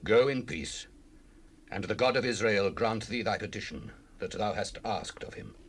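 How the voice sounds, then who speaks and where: A man's voice: on the low side, expressive, moderate pace. A man playing a stern old priest of ancient Israel in a dramatized scripture reading, grave and authoritative, on an old cassette. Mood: gracious blessing. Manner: grave, authoritative, archaic diction. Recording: noisy unprocessed 1970s cassette rip.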